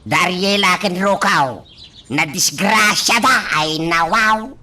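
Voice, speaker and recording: A woman acting in an amateur radio drama, speaking in a sing-song way with drawn-out sighs. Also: on the low side, sharp tone.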